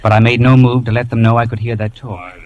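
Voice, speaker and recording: An actor playing a grown man recounting his boyhood adventure in measured, suspenseful old radio narration. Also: mid-range voice, flat delivery, dull audio, lightly acted. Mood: grave recounting. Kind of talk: dramatic scripted first-person narration.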